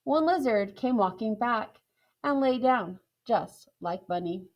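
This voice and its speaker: Female storybook narrator, unhurried, slightly high-pitched. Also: expressive, highs cut off, a little hiss or hum. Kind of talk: children's storybook reading.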